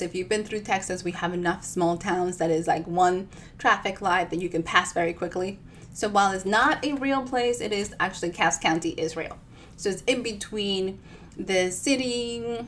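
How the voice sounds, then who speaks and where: A woman giving an expressive storytime reading of their own fiction, with chatty, informal asides. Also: mid-range voice, a little hiss or hum. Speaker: author reading their own novel on a live show.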